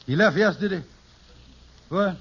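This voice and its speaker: An actor playing a scheming, hen-pecked husband in an old radio sitcom, with a wheedling, stammering, theatrical delivery. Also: male voice, sits high, unhurried, creaky, a bit bright, slightly rough, heavily acted. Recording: noisy, dull old radio recording.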